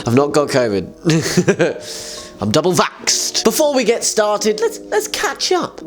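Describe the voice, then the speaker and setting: A man's voice: high voice, huge pitch swings, measured pace, faint music behind. A young man who hosts a fan community show. He talks in a casual, self-mocking way, laughs often, and sounds a little nasal from a cold. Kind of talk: casual solo video chat.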